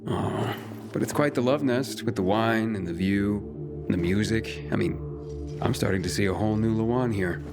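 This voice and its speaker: A wry young adventurer from a video game, played by a man. His voice sits low with a gravelly fry, and he talks casually and teasingly, halting now and then, yet earnest. Music plays clearly behind him. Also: close to an everyday voice. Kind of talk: naturalistic video game acting.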